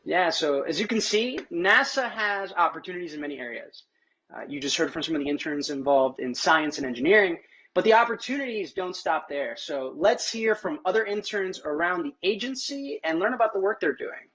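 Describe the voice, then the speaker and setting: A man's voice: high-pitched, animated, a little fast, a bit bright, slightly rough. A man hosting a panel interview over a video call, upbeat and conversational. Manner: upbeat, conversational, casual fillers. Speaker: host. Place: home room with plants. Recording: dull lo-fi call audio.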